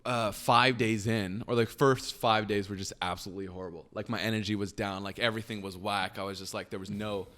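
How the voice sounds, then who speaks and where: A young man chatting casually as a podcast guest, rambling with lots of fillers and trailing off quietly. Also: lowish voice, fairly even intonation, fairly quick, hardly any pauses. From Canada. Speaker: podcast guest, business founder. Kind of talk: casual conversation.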